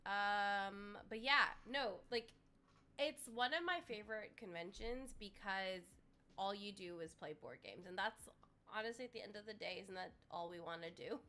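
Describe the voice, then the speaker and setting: Bright-voiced woman hosting a livestream. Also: medium pitch, normal speed, a little hiss or hum. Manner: chatty, filler-heavy, addresses chat viewers. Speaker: livestream host. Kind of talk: casual livestream chat. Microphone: desk microphone.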